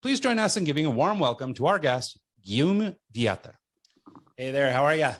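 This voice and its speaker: A middle-aged man hosting a podcast, reading a cheerful scripted introduction and then chatting casually with quick laughs. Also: on the high side, sing-song. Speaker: podcast host.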